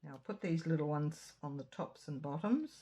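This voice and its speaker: A woman with a slightly croaky throat giving a chatty card-making tutorial, drifting into asides while she works. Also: low voice, lively intonation, normal speed, mellow, a little hiss or hum. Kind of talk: casual craft tutorial. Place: home craft room.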